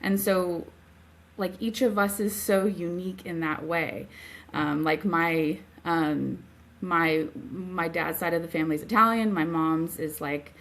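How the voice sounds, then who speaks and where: A woman's voice: fairly low pitch, measured pace, gravelly. A woman speaking as an interviewed author, explaining her ideas in a casual, earnest, thoughtful way. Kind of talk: casual reflective interview talk.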